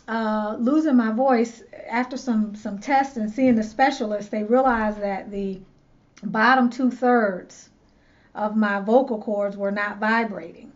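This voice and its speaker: A woman's voice: fairly high pitch, dull audio. A woman host telling a personal story in a chatty, earnest way, repeating words as she searches for them. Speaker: loc stylist and channel host. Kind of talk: casual storytelling to camera.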